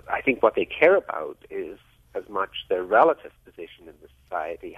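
A man's voice: fairly low pitch, husky, no room sound. Man on a tinny phone line, hesitant. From Scotland. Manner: hesitant, many uhs, measured explanations. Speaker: economics professor guest. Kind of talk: radio interview answers.

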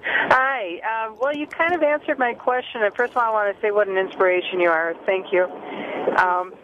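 A woman's voice: medium pitch, a little fast. Hesitant woman caller on a noisy phone line. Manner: hesitant, many fillers. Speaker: caller to a radio show.

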